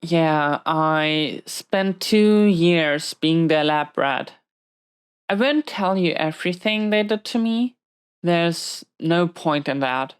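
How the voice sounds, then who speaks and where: A low, smooth-voiced female villain behind bars, acted by a woman, speaking slowly in an intimate audio roleplay. She is wounded and weary, confiding in halting, reflective phrases. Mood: somber, resigned. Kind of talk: slow intimate audio roleplay monologue to a listener.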